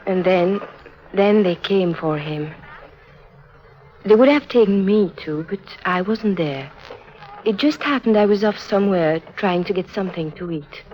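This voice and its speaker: A woman's voice: medium pitch, a little slow, lightly acted. A woman playing a lonely, earnest teenage circus orphan who confides sorrowfully in a 1950s radio drama. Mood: grieving. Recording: muffled vintage 1950s radio recording.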